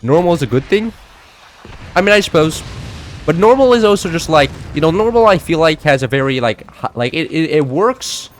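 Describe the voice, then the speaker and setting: A young man in his late teens chatting loosely while playing a game, rambling with many fillers and restarts, his voice a little high for a man. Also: expressive, normal speed, slight background noise. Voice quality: slightly high-pitched for a man. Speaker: gameplay streamer. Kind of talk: casual chat while playing.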